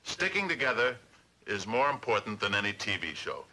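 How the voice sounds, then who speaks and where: Low-voiced earnest friend. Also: male voice, very animated, fairly quick, noisy background. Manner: earnest, consoling. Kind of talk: 1960s sitcom acting.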